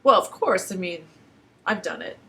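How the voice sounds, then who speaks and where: A woman's voice: fairly low pitch, expressive, normal speed, fairly bright tone. A woman chatting casually and candidly, in a matter-of-fact way. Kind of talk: casual frank conversation.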